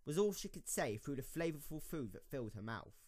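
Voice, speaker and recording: A man's voice: on the high side, very animated, a little fast, darkish tone. A man narrating a scripted dramatic story as the narrator of an audio drama.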